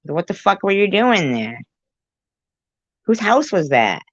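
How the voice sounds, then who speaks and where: A woman's voice: medium pitch, very animated, takes it slowly, no room sound. A woman commentary host reacting to a clip in a blunt, sarcastic, profane way. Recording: dull lo-fi recording.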